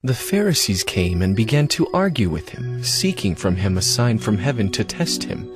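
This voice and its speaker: A man's voice: fairly low pitch, sing-song, moderate pace. A man giving scripted, dramatic narration of scripture for an audio drama. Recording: music behind the narration.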